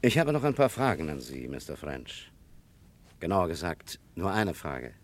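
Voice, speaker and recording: An actor playing an authoritative, probing police captain in a vintage crime radio play, questioning in a clipped, insistent way. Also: male voice, deep voice, very animated, normal speed, a little hiss or hum. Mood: cool, probing.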